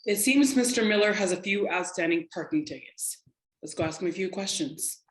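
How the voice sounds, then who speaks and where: A woman playing a stern, sharp-tongued law enforcement agent who speaks with clipped authority in a drama table read. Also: fairly low pitch, lively intonation, normal speed, lightly acted. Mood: commanding. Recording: roomy, echoing room sound.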